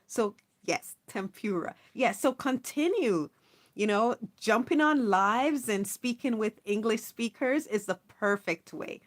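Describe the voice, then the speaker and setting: A woman's voice: a little high, lively intonation, unhurried. A woman hosting a casual live stream, reading viewers' messages aloud and chatting with them in an encouraging, upbeat way. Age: adult, not young. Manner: warm, encouraging, reads chat aloud, chatty with laughs. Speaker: livestream host. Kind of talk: casual livestream chat with viewers.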